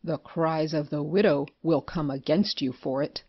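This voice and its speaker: A man's voice: on the high side, normal speed, no room sound, highs cut off, natural voice. An actor playing a pious, indignant creditor in a period comedy, scolding righteously as he demands his money. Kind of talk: theatrical period comedy acting.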